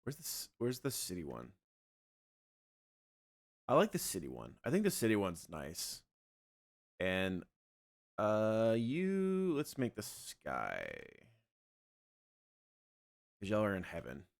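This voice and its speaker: A young man streaming a game and chatting loosely with his viewers, his voice a little scratchy from yelling the night before. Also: fairly low pitch, slow, gravelly, pauses often. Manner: relaxed, rambling, talks to chat. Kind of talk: casual livestream chat.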